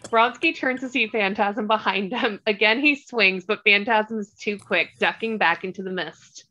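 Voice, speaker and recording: A woman's voice: mid-range voice, normal speed, bright, forceful tone, muffled recording. A woman narrating the stage directions of a screenplay in a scripted read. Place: remote online table read.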